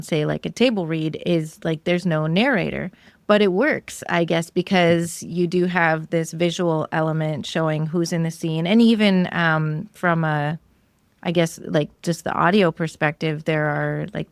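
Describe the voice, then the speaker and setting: A woman hosts a casual interview podcast. Her voice sits quite low with plenty of fry, and it is recorded close and dry. She talks in a conversational way. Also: no echo. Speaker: podcast host interviewing a guest.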